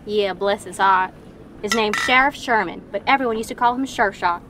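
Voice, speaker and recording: A woman's voice: medium pitch, lightly acted. A woman playing a plain-spoken, folksy Wild West townsperson in an audio drama, with some background noise. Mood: gossipy. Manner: folksy, drawn-out idioms. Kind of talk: western audio drama acting.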